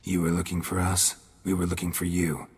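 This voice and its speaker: Gruff deadpan monster hunter from a video game, low gravelly voice, big reverb. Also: male voice, fairly quick. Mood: calm, serious. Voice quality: gravelly, husky. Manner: laconic, deadpan. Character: gruff, weathered male monster hunter, dry and stoic. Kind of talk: video game dialogue acting.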